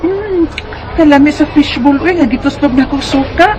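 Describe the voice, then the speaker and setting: Woman playing a chatty bisaya schoolgirl, high voice, kinda whiny, radio drama w music under it. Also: normal speed, close to an everyday voice, low-quality recording. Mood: chatty, hungry. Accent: Bisaya Filipino. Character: Maria, carefree schoolgirl around twelve who loves snacks.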